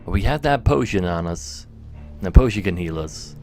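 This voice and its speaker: A man's voice: sits low, lively intonation, normal speed, music in the background. A man livestreaming a game, mixing muttered commentary with dramatic readings of the game's text. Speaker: live streamer. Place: indoors, livestream with game music behind.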